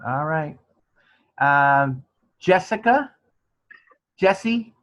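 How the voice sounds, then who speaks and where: A man's voice: fairly high pitch, animated, drawn-out words, fairly bright tone. A man with a New Jersey accent teaching an informal online class in a chatty, encouraging way. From New Jersey, USA. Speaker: college instructor. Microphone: online class call. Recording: dull, band-limited online class audio.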